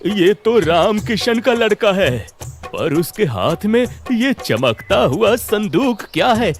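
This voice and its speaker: Cartoon village elder, male performer: old, suspicious, easily alarmed, high animated delivery, music behind. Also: slow pace, heavily acted. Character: excitable suspicious old village uncle with walking stick. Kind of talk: animated Hindi cartoon story acting.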